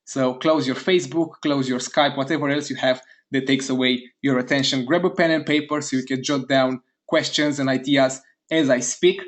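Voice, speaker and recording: A man from Romania hosting a live online sales webinar, upbeat and eager, talking straight to his chat audience and repeating words in quick bursts of praise. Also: mid-range voice, steady tone. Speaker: webinar host.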